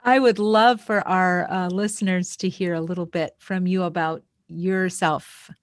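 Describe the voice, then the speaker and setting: A woman hosting a podcast, speaking in an effusive manner with deliberate emphasis. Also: fairly low pitch, fairly even intonation, no echo, clean studio sound. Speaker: podcast host. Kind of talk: warm podcast hosting, introductions.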